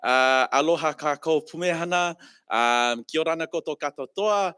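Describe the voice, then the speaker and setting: A man from Samoa opening a speech competition as master of ceremonies, greeting the audience in several Pacific languages and pausing often with fillers. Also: fairly high pitch, bright, forceful tone, clean tone, no echo, clean studio sound. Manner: hesitant, many fillers, multilingual greetings. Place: conference room.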